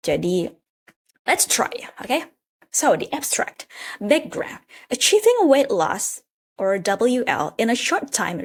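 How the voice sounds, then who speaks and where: A young woman from Indonesia with an Indonesian accent, giving a casual tutorial as an English tutor and laughing often, into a handheld microphone. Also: medium pitch.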